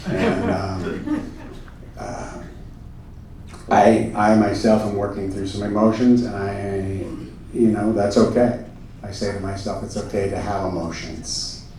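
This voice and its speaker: A man performing on a theater stage in a comedy show, speaking with deadpan, mock-earnest solemnity as a presenter. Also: on the low side, slow pace, a little hiss or hum. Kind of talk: comedy stage show, solemn story narration and audience address. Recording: echoey room, far from the mic, music.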